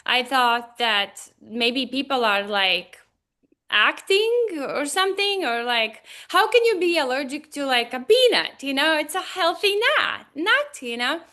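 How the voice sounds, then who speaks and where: A woman in her forties from Latvia with a Latvian accent, a guest on a video call speaking animated, slightly broken English. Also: high voice, a little slow. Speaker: guest, Chinese medicine doctor. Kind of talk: casual interview talk.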